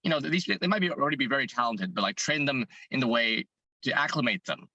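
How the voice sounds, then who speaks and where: A man with a hoarse voice, a fitness content creator answering interview questions earnestly, thinking aloud and restarting his sentences. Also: mid-range voice, lively intonation, quick pace, pushed and bright, no echo, dull audio.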